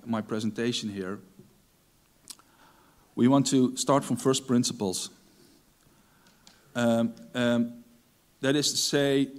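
A middle-aged man whose first language is Dutch gives a technical talk on a large conference stage, pausing often with ums and restarting sentences. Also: mid-range voice. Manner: hesitant, many ums and restarts. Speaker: conference speaker.

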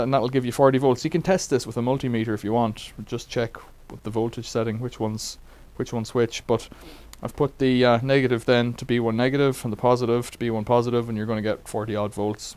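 A man's voice: medium pitch, fairly even intonation, a little fast, hardly any pauses, a little mellow, smooth. A middle-aged man casually demonstrating a bike repair in a cluttered workshop, rambling with wry asides.

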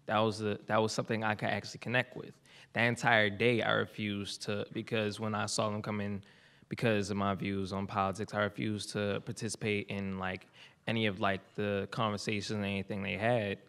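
A young man, a student performer, giving a halting casual introduction on a lecture hall stage into a stand mic. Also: lowish voice, vocal fry, fairly bright tone. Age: teens. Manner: halting, with restarts and fillers. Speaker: student spoken word performer.

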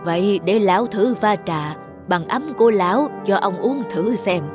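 A woman voicing a poor, humble old man who is a tea connoisseur in a cartoon folktale, speaking in a slow, courteous, old-fashioned way. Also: mid-range voice, music in the background, lightly acted. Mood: humble polite. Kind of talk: dubbed cartoon folktale acting.

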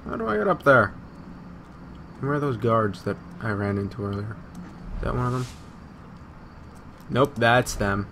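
A man's voice: mid-range voice, expressive, measured pace, pauses often, a bit bright, a little hiss or hum. A man giving casual gameplay commentary, muttering to himself, repeating phrases and swearing. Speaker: gamer recording a let's play.

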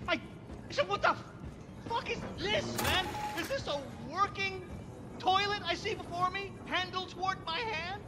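A man playing an excitable, foul-mouthed resistance fighter in a video game, exclamatory and mock-dramatic. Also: very high voice, moderate pace, over loud music. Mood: astonished. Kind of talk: video game cutscene acting.

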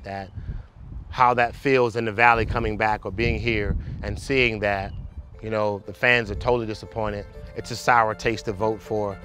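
Lower-voiced man in a reflective interview. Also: fairly bright tone.

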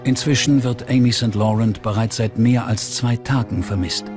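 A man narrates a true-crime documentary in German-podcast style. His medium-pitched voice reads from a script, with music playing clearly underneath. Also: fairly even intonation, moderate pace.

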